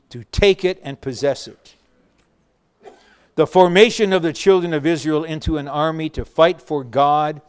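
A man preaching a sermon, measured and emphatic, with bursts of shouting. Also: on the high side, clear, resonant tone.

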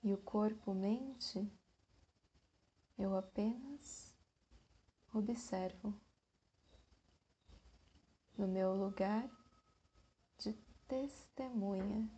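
Lady doing guided meditation, super slow w long pauses, soft breathy mellow voice. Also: medium pitch.

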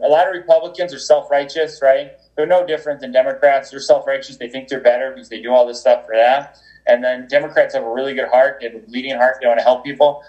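A man's voice: medium pitch, darkish tone, dull audio. Guy on a podcast call, rambles a lot, lots of ums and restarts. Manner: rambling, restarts, many fillers. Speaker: podcast guest. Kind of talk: energetic conversational interview. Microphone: remote call.

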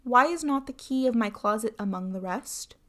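A woman's voice: a little high, lively intonation, moderate pace, a bit bright. A woman voicing a cruel, coldly commanding husband in a storybook tale, imperious and mocking. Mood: stern, demanding.

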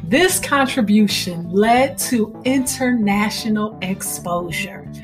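A woman radio host reading a scripted introduction of a featured storyteller in a deliberate, emphatic way. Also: medium pitch, lively intonation. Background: music, plucked guitar.

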